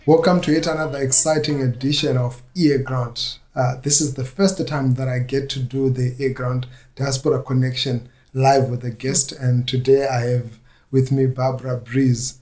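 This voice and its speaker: A man hosts a relaxed-format interview show. His voice is mid-pitched for a man and stays fairly flat in intonation as he introduces and questions. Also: normal speed, soft background music. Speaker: radio show host. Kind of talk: conversational interviewing.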